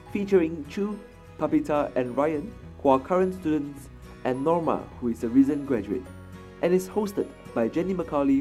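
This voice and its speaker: A man reads a scripted podcast introduction in a formal, even way. His tone is mellow and warm, with faint music playing behind him. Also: mid-range voice. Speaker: podcast announcer.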